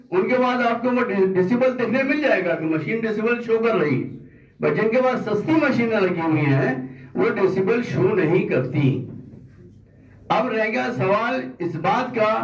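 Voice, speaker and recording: A man with a high-pitched voice speaks as if addressing officials, pressing his case with repeated points and pointed questions. The sound is echoey and muffled, picked up at a distance from the microphone. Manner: insistent, argumentative, with rhetorical questions and repetition. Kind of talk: forceful public statement of grievance.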